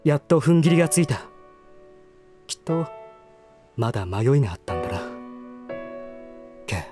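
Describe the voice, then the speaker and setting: A male actor playing a refined, sheltered young gentleman in a voice drama, speaking formally and earnestly. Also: medium pitch, big pitch swings, moderate pace, music in the background, natural voice. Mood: tender, resolute. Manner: formal, stiff, earnest speech. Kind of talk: Japanese voice drama acting.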